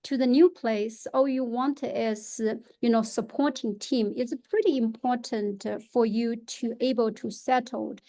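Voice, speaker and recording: A middle-aged woman with a Mandarin Chinese accent, a real estate agent speaking halting English full of fillers and restarts in an interview. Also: on the high side, steady tone, warm tone. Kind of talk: interview guest, unscripted.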